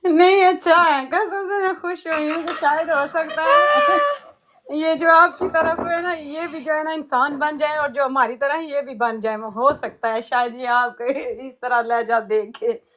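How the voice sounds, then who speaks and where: A woman's voice: high-pitched, unhurried, fairly bright tone, slight background noise. A woman chatting casually in a live group conversation.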